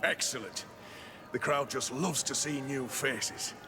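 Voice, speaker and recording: An actor playing a showy, formal arena master in a theatrical fantasy game style. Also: male voice, medium pitch, slow, noisy background, lightly acted. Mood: smooth salesmanship. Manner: flamboyant, formal, showman-like. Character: showy male arena master announcing fights to the crowd. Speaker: arena announcer. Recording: long echoing reverb.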